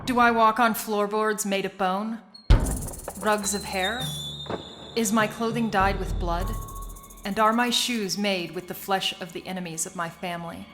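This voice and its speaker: A woman's voice: mid-range voice, steady tone, unhurried, lightly acted. A woman acting in a radio play as a sheltered, questioning young daughter of a crime boss. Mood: brooding, reflective. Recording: music bed under the voice. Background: prominent music.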